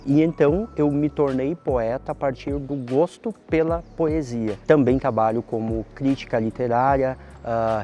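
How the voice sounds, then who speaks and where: Southern brazilian guy, warm mellow voice, thoughtful interview talk, bit of music behind. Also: medium pitch. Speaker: interviewee, literature teacher and poet. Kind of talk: reflective documentary interview.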